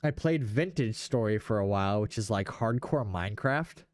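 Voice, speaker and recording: A man's voice: lowish voice, animated, moderate pace, darkish tone, no room sound. Young man chatting in a dry-sounding space. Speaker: podcast host. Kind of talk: casual gaming chat.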